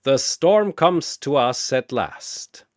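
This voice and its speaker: A man voicing a jovial, wise and sly old brewmaster warrior who talks in measured, ceremonious riddles, as in a fantasy story. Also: mid-range voice, lively intonation, no echo, clean studio sound. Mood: serene.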